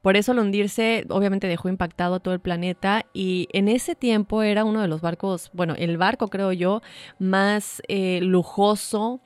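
A woman's voice: medium pitch, steady tone, moderate pace, no echo. A woman, co-hosting a casual chat and telling a story with many fillers. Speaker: podcast co-host.

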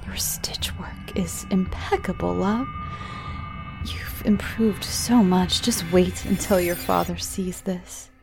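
A woman's voice: on the low side, fairly even intonation, takes it slowly, over music. Woman voicing a sinister mother, whispering with cruel glee. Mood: tender, menacing. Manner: breathy whispering, savoring each word. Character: sinister mother, gleefully cruel, lovingly proud of daughter. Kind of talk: horror storybook character voice.

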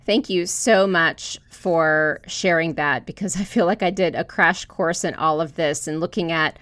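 A woman's voice: on the low side, unhurried, a bit bright, no echo. A woman podcast host from Florida, earnestly sharing a personal story before posing a question to her guest. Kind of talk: podcast interview.

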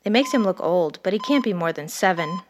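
A woman's voice: fairly low pitch, lively intonation, a little fast, noisy background, close to an everyday voice. A woman playing an ambitious, detached ER doctor in an audio drama, confessional and intense. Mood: haunted, grim. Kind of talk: first-person dramatic narration and dialogue.

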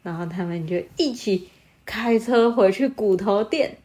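A woman improvising a bedtime story with a child, animated and prompting with questions. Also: medium pitch, unhurried. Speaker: storyteller, podcast host.

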